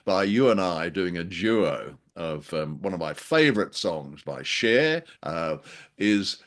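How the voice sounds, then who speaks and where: A man's voice: deep voice, expressive, slow pace. A man co-hosting a casual podcast chat, talking in a jokey, rambling, hesitant way. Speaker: podcast co-host.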